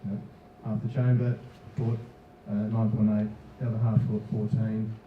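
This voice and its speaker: A man speaking as a council official at a public meeting, hesitant and halting with many fillers. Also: on the low side, level tone, slight background noise. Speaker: council official answering questions. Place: civic centre meeting hall. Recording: very echoey, distant, dull sound.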